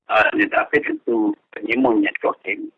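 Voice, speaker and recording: A man's voice: lowish voice, moderate pace, slightly rough, no echo. A man talking informally as a participant on a telephone conference call. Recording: dull, lo-fi telephone conference line.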